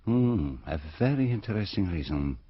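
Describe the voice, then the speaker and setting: An actor playing a courteous, methodical older Belgian detective with a French-speaking Belgian accent, formal and probing in a radio drama. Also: male voice, lowish voice, lively intonation, moderate pace, breathy edge, dry recording. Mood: pensive, probing. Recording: slightly dull radio recording.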